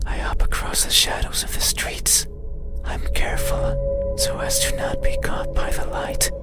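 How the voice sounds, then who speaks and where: A man voicing a lonely, melancholy, menacing creature of the night in a breathy whisper, in the style of an ASMR horror visual novel. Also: very high voice, exaggerated voice. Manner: slow, hushed, halting, brooding phrasing. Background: loud eerie music.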